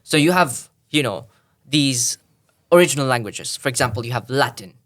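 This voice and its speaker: A man's voice: mid-range voice, lively intonation, moderate pace, a bit bright. A man from Tanzania, a podcast guest, explaining at length in a thoughtful way with frequent restarts. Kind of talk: explanatory conversational interview.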